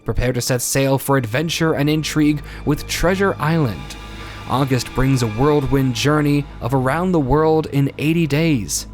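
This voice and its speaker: A man announcing and narrating a radio drama in a scripted, old-time style. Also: medium pitch. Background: music.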